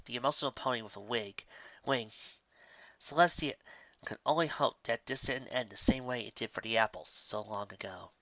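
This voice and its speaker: A male narrator reads a scripted fan story. His voice is bright and forward, captured close and dry with a slightly dull tone. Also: medium pitch, no echo.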